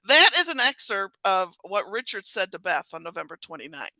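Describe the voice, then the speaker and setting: A woman hosting an interview, speaking in an expressive way and reading aloud with emphasis. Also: mid-range voice, normal speed, no echo, rough recording. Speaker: host. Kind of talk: casual interview chat.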